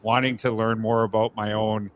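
A man's voice: on the low side, flat delivery, moderate pace, a little hiss or hum. A man hosting a podcast interview, talking in a reflective, conversational way with frequent fillers and restarts. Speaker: podcast host. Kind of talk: casual interview chat.

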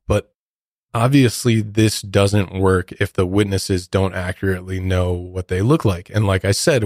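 A man's voice: low-pitched, a little mellow, dry recording, clean studio sound. An American man hosting a podcast, telling a true crime story in a conversational way with informal asides. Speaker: podcast host.

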